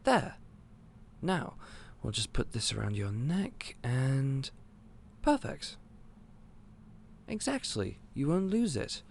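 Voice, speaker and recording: Male actor as a gentle, eccentric magical shopkeeper; slow delivery, animated intonation. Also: medium pitch, creaky, a little hiss or hum. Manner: gentle, polite, excitable and a little scatterbrained. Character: eccentric otherworldly male candy store owner. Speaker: audio roleplay performer. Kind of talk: scripted audio roleplay to the listener.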